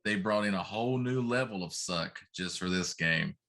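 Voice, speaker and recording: A man's voice: deep voice, moderate pace, sharp tone. A middle-aged man co-hosting a casual talk show, complaining in an emphatic, comically exaggerated way. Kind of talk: casual chat.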